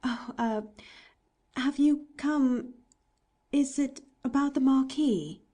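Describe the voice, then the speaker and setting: A woman's voice: high-pitched, slow pace, warm tone, pitched above the performer's own voice. A woman voicing a shy young girl who speaks hesitantly and politely, trying to sound grown-up.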